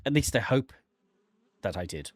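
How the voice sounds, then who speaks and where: A man voicing a haunted, guilt-ridden man lost in dark woods, reading horror game narration in a theatrical, halting way. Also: on the low side, animated, noisy background, pitched below the performer's own voice, natural voice. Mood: panicked dread. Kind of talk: dramatic first-person reading of horror visual novel text.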